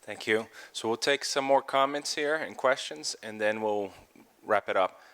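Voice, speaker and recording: A man moderating floor questions in a large conference hall, brisk, polite and directive. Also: lowish voice, fairly bright tone, smooth. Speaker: session moderator.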